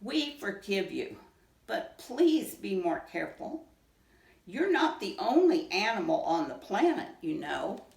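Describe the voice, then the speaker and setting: Little male storybook ant, as a woman reads him: indignant, fair-minded, brisk scolder, animated, in an echoey room. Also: mid-range voice, creaky, distant mic, exaggerated voice. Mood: forgiving, firm.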